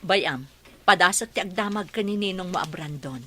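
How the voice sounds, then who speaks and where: A woman's voice: on the low side, moderate pace, pushed and bright. A woman voicing a caring but anxious middle-aged mother who speaks in an earnest, worried way.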